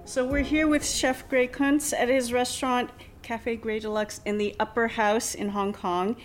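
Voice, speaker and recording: Higher-voiced woman interviewer on location. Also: level tone, unhurried, soft background music. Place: restaurant.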